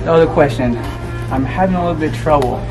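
A cocky young man with a fairly high, light voice runs a prank interview in a busy mall, bantering in slang and laughing at his own jokes into a handheld mic. Music plays clearly in the background. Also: fast. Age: young adult. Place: indoor shopping mall. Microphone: handheld mic held near mouth.

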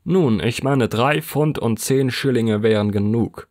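A man's voice: mid-range voice, measured pace, a little mellow, no room sound, studio quality, pitched above the performer's own voice, lightly acted. A man playing a curt, unbending official. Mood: firm. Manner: curt, firm. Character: stern unbending male parish official.

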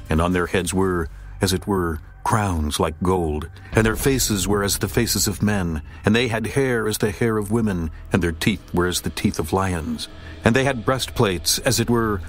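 A man narrating a dramatized scripture reading in a steady, grave voice. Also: deep voice. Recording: narration over music.

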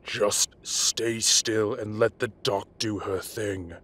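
A man acts out a half-dragon mafia boss in an audio roleplay. His voice is lowish, rough and hard-edged. He is commanding and impatient, scolding his men while looking out for them. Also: fairly even intonation, takes it slowly, fairly bright tone. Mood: concerned, firm. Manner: barking, bossy, scolding.

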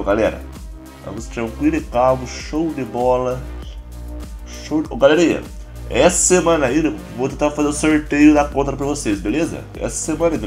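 A Brazilian man with a hoarse, congested voice, chatting casually through a game tutorial as a YouTuber. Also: mid-range voice. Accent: Brazilian Portuguese. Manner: relaxed, chatty, constant filler address to viewers. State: hoarse, stuffy nose and cough. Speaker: gaming YouTuber.

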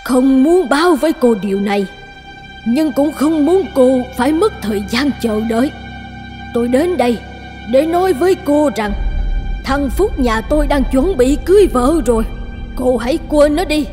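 Snobbish high-voiced cartoon mother. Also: lively intonation, moderate pace, warm tone. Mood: cold, stern. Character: scheming, snobbish middle-aged mother, cold and persuasive. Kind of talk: dubbed cartoon drama acting. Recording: long reverb, music underneath.

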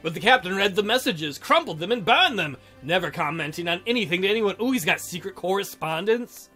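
Male let's-play narrator, bright and high, theatrical reader with joking asides, faint music underneath. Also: lively intonation, a little fast. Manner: jokey, theatrical, breaks into silly mock voices. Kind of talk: reading a visual novel aloud with joking commentary. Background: game music.

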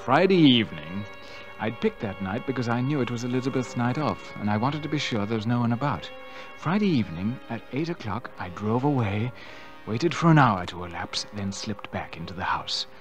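Radio drama schemer: male actor as a smooth, falsely attentive nephew, theatrical and animated, with music underneath. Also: mid-range voice, a little fast, lightly acted. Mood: sly, suspenseful. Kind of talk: old-time radio mystery acting.

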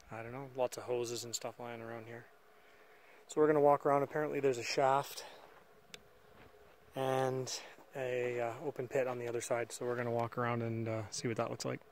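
A man's voice: medium pitch, a little mellow, slight background noise. A man filming and casually narrating a walk through an old mine site outdoors, thinking aloud with fillers on a camera mic. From Canada. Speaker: mine explorer filming a walkthrough.

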